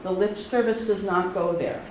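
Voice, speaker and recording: A middle-aged woman, speaking earnestly and haltingly at a public meeting. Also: medium pitch, fairly even intonation, a little mellow. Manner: earnest, halting, many ums. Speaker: public commenter. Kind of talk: unscripted public comment. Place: community meeting hall. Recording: very echoey hall, faint noise.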